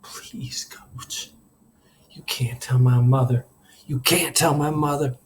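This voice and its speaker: A man's voice: a little high, animated, normal speed, slight background noise, pitched above the performer's own voice, natural voice. A man voicing a distraught, defiant teenage boy in a story, pleading with a strained, breaking voice. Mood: desperate pleading.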